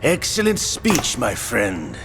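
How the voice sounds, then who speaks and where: An actor playing a sly, menacing male gunslinger villain in a video game, theatrical and mocking, with a gravelly voice. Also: medium pitch, wildly swooping pitch, slow, noisy background, heavily acted. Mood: mocking, smug.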